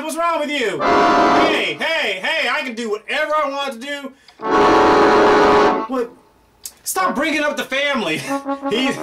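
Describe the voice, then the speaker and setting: A middle-aged man hosts a homemade question and answer show from a curtained room, his voice somewhat high for a man and full of excitable interjections. He sits back from the webcam, so he sounds a little distant. Loud guitar music plays under everything he says. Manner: playful and excitable, reacting aloud to an unseen guest with many "oh"s and laughs. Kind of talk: animated comedic Q&A chat. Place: indoor room before a pale curtain.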